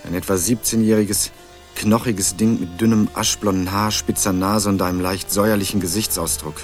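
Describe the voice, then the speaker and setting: A man's voice: sits low, fairly even intonation, normal speed, natural voice. A young man narrating a radio crime drama in the first person, with a laconic, dry hard-boiled manner. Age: young adult. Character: sardonic young man hunting his missing cousin. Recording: radio play with music underneath.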